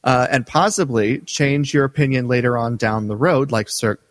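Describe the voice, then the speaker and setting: A man co-hosting a fan podcast, joking in a relaxed way and reading listener letters aloud. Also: medium pitch, normal speed, long reverb tail. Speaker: podcast co-host. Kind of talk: casual podcast chat.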